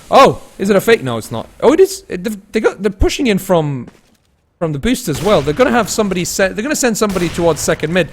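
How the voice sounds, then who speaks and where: Male esports caster over noisy game sound. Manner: swings between excited play-calling and low-key rambling. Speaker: solo esports commentator. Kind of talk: live game commentary mixed with casual chat to viewers. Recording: noisy with game gunfire and clicks. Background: game gunfire and clicks.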